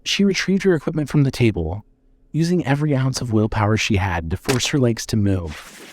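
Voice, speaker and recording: A man reading scripted narration for an audio drama in a measured way. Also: mid-range voice, expressive, no echo.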